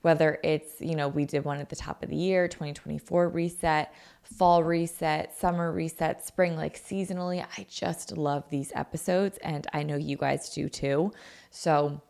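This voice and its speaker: Young woman, podcast host, low gravelly voice that is scratchy from a sore throat, chatty and loose. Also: steady tone, normal speed, darkish tone. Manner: chatty, peppered with "like" and "um". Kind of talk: casual solo podcast chat. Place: her own apartment.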